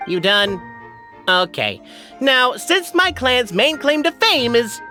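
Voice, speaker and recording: A man's voice: very high voice, big pitch swings, slow, pushed and bright, faint music behind, heavily acted. A man voicing a proud, brash, argumentative clansman in a video game, boasting with pompous logic. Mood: irritable. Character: proud, brash, argumentative male clan member. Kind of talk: video game character acting.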